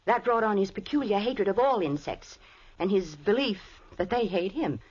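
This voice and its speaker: Nervous young wife, breathy, lively swinging voice, flustered, old radio drama. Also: female voice, medium pitch, moderate pace, low-quality recording. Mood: earnest. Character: anxious young wife of a reclusive professor. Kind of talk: 1940s radio acting.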